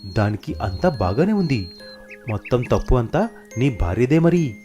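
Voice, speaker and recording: A man's voice: mid-range voice, sing-song, moderate pace, mellow, lightly acted. A man voicing a confident, plain-spoken young animal doctor in a cartoon story. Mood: assured, firm. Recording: music behind the voice.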